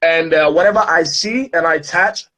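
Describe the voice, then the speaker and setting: A man's voice: fairly high pitch, normal speed, sharp tone. A man from Ghana, speaking as a guest in an online interview in an upbeat, motivational way with many fillers.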